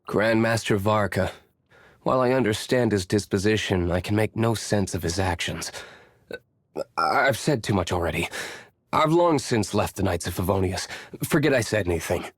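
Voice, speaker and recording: An actor playing an aloof, brooding young nobleman warrior in a video game, reserved and formal, speaking in measured, curt lines. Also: on the low side, expressive, husky, no room sound, clean studio sound.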